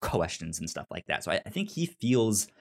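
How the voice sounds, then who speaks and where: Lowish-voiced man podcaster with a stutter. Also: sing-song, moderate pace, creaky. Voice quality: stutter, repeating initial sounds. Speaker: podcast co-host. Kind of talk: casual opinionated review chat.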